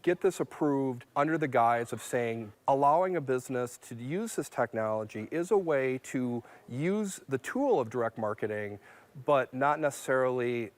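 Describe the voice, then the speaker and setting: A man's voice: mid-range voice, expressive, normal speed. A man, a marketing professor explaining an issue in an interview.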